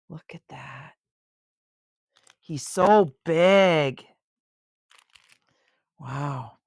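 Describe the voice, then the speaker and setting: An older woman reading a children's picture book aloud in an expressive, unhurried way, with chatty asides of wonder. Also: deep voice, no echo, studio quality.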